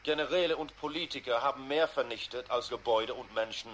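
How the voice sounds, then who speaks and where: A man playing a grave, bitter radio commentator delivering a measured commentary in a 1980s radio drama. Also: mid-range voice, fairly bright tone, close to an everyday voice. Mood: accusing, mournful. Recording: faintly noisy 1980s radio play.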